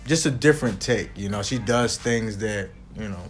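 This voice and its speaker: Man, 30s, deep-ish casual co-host who meanders through his points. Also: moderate pace, soft background music. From United States. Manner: rambling, frequent "you know" fillers. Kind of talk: casual chat.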